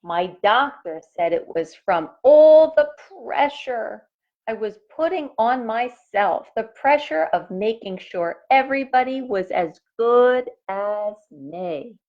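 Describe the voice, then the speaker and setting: A woman voicing an earnest, overburdened good egg who tells its own story in a children's picture book, with measured, dramatic phrasing. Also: a little high, expressive. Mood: weary, strained. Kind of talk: children's storybook narration in character. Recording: phone-like, narrow-band sound.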